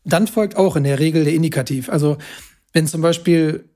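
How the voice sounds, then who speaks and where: A man's voice: fairly high pitch, a little fast, dark tone. A man speaking German natively, explaining casually as a host, pedantic yet chatty. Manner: self-confessed language nerd, pedantic yet chatty. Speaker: podcast host explaining grammar.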